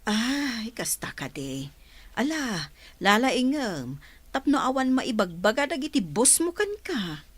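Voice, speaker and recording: A woman's voice: on the high side, very animated, takes it slowly, husky, no room sound, full character voice. A woman with an Ilocano accent playing a caring, cautious middle-aged mother in a radio drama. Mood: fond.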